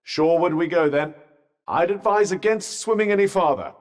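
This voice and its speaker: An actor voicing a sentient magical vambrace in a video game, a wry, haughty, cautious companion with a British accent and dry, sardonic, formal understatement. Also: male voice, high-pitched, moderate pace, lightly acted. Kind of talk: video game character dialogue. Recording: long reverberant echo on the voice.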